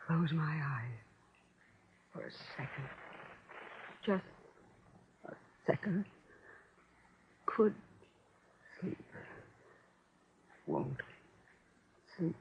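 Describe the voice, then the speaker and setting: An actress playing a frightened, exhausted housewife in 1940s radio horror, with halting, repetitive, haunted delivery. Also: female voice, low voice, lively intonation, creaky, husky, full character voice. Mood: weary dread. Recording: dull old radio drama recording.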